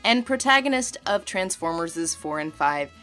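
Lowish woman doing video essay voiceover, measured, analytical, bit of music behind. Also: lively intonation, pushed and bright. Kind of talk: scripted video-essay narration.

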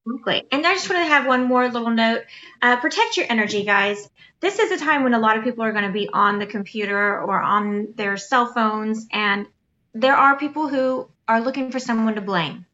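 A woman with a high, sweet voice co-hosts a casual chat. She talks straight to her listeners in an earnest, encouraging way. Her voice sits a little high, and the recording sounds dull and lo-fi. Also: fairly quick, sharp tone.

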